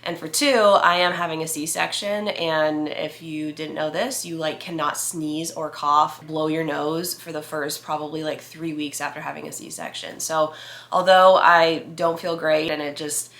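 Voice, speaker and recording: A woman's voice: low-pitched, fairly even intonation, a little slow, fairly bright tone, echoey room. A woman in her thirties who sounds nasal and congested from a cold and a little out of breath, chatting casually to camera in a reassuring way with many fillers. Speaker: vlogger.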